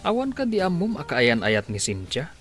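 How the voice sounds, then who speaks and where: A man playing a calm friend who gives steady advice in a radio drama. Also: fairly high pitch, normal speed, soft background music, full character voice. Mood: advising.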